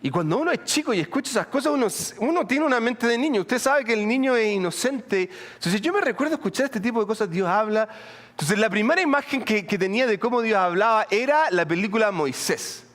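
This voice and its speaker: A middle-aged man with a Colombian accent, preaching a sermon in an animated, humorous way with asides to the congregation. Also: high-pitched, a little fast. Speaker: pastor. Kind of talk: sermon with storytelling.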